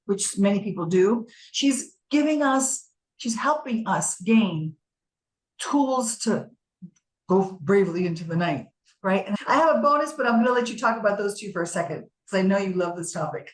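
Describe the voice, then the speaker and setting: A woman co-hosting a podcast, talking in animated, emphatic bursts in casual chat. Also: medium pitch, moderate pace. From Colombian American. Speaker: podcast co-host. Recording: roomy echo.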